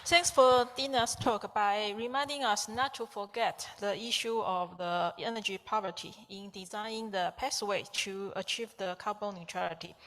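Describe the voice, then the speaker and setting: A woman chairing a conference session, introducing a speaker and moving to questions, in a halting way with repeated words. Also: mid-range voice, fairly bright tone, in a large hall.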